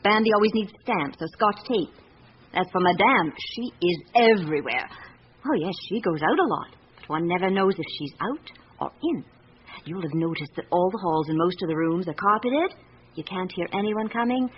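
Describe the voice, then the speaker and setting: An actress as a candid, observant secretary in a radio drama. Her British voice swings widely in melody while she confides in a measured, articulate way. The recording is dull and muffled, with a light hiss behind her. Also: fairly low pitch, lively intonation, close to an everyday voice. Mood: confiding, observant.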